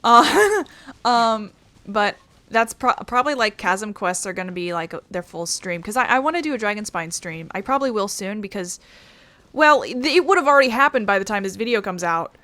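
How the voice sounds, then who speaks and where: A woman's voice: medium pitch, expressive, normal speed, pushed and bright, dry recording. A woman giving casual, rambling let's play commentary, thinking aloud as she goes. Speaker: gaming channel host.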